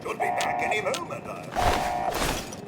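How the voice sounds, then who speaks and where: An actor voicing a prim, courtly robot butler in a video game. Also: male voice, very deep voice, wildly swooping pitch, slow, vocal fry, loud music behind the voice, exaggerated voice. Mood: cheerfully polite. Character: polite, fussy English robot butler. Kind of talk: video game character acting.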